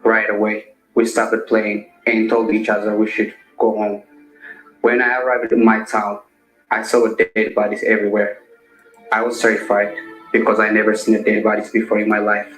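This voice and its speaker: A man plays a young man of nineteen, giving a steady scripted account. His voice sits low and barely moves in pitch. An echoey room and faint music surround it. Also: lightly acted. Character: young man of nineteen, shot while fleeing. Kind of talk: scripted first-person testimony.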